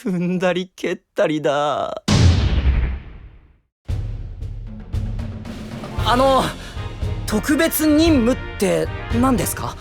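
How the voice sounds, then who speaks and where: Exasperated young male rookie attorney, casual, wry, lively intonation, audio drama over music. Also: mid-range voice, slow. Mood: flustered. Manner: casual, grumbling. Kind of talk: Japanese audio drama voice acting.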